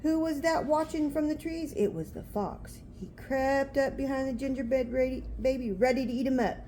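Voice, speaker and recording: A woman's voice: high voice, normal speed, mellow, a little hiss or hum. An older woman, a grandmother reading a storybook aloud to a child in a homely, chatty way. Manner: homely, chatty, pointing things out.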